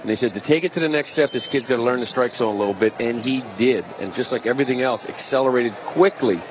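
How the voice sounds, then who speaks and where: A man provides conversational color commentary on a live baseball game. He talks very quickly in a mid-pitched voice, with faint outdoor stadium noise around him. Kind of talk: conversational live sports analysis. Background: outdoor stadium ambience.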